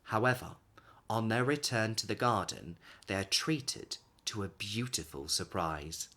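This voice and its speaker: A man in his thirties reads a children's story aloud expressively, with dramatic pauses and emphasis. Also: fairly low pitch. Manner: animated, dramatic pauses and emphasis for young listeners.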